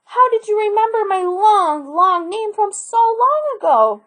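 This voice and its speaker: A woman's voice: very high voice, sing-song, measured pace, a bit bright, pitched above the performer's own voice, exaggerated voice. A woman voicing a spirited, inquisitive old grandmother in a storybook read aloud. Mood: amazed.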